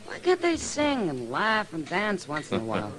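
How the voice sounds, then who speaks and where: A man's voice: high-pitched, huge pitch swings, normal speed, crowd noise. An American woman acting a jaded, sardonic frontier saloon woman in an old-time radio western. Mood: fed up.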